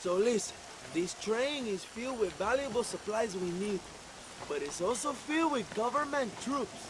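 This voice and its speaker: An actor playing a grandiose, self-important Mexican revolutionary leader in a game cutscene, with a Mexican accent and theatrical, halting delivery. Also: male voice, high-pitched, lively intonation, noisy background. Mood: conspiratorial. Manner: pompous, theatrical, halting repeats. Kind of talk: video game cutscene acting.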